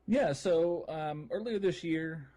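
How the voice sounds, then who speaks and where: A man's voice: fairly high pitch, takes it slowly, warm tone. A man co-hosting a casual gaming podcast, talking in an informal, enthusiastic, loosely phrased way. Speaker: podcast co-host.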